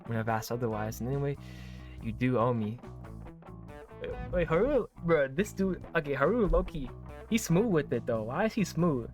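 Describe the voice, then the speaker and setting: A man's voice: mid-range voice, expressive, moderate pace, over music. A man doing a visual novel let's play, reading aloud and reacting casually with slangy, effusive comments and swearing. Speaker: game streamer, let's player.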